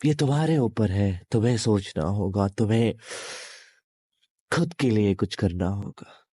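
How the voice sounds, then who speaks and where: A menacing demon in human form, voiced by a man, speaks low and very slowly in an intimate roleplay audio. He is possessive and taunting, with deliberate pauses and mock sympathy. The sound is close and dry. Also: lively intonation, dark tone, no echo. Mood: taunting, threatening. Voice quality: deep, smooth.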